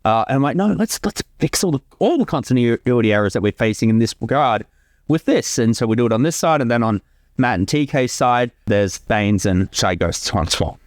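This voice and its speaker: A man's voice: mid-range voice, animated, fairly quick, dry recording, clean studio sound. Male co-creator on a commentary track. Casual, chatty, informal, sweary, closely recorded with no room sound. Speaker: co-creator giving commentary on own fan series.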